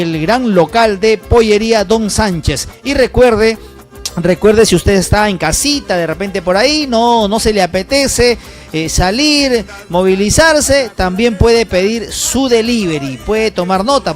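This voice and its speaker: A man hosts a live stream, his voice pitched high. He talks quickly with many fillers, and faint music plays behind him. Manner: rapid, upbeat, salesy, many fillers. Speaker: livestream host. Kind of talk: live broadcast shout-outs and sponsor ad.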